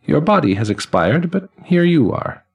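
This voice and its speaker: Man voicing an old otherworldly judge, high chiming voice, serene and formal, slightly off mic. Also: very animated, creaky, slightly rough, distant mic, dull audio, heavily acted. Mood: welcoming. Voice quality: chiming, bell-like voice. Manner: gentle, formal, unhurried pronouncements. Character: ancient male judge of the dead, calm and pleased.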